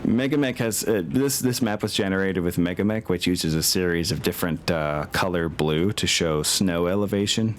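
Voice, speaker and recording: A man's voice: deep voice, normal speed, few pauses, slight background noise. A man with an easygoing, colloquial way of talking, chatting casually as the game master of a tabletop game.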